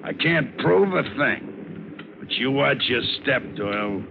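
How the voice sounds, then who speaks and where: A gravelly, hot-tempered ship captain. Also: male voice, medium pitch, animated, measured pace, narrow, tinny audio. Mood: menacing. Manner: bellowing, menacing. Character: gruff, suspicious, hot-tempered male cargo ship captain. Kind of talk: 1940s-50s radio drama acting. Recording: noisy, scratchy, thin old radio broadcast.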